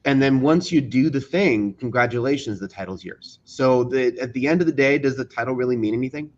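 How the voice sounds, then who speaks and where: A man's voice: mid-range voice, fairly quick, a little mellow, muffled recording. A man speaking as an interview guest, chatting casually and telling stories. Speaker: interview guest, game developer. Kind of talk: casual chat and storytelling.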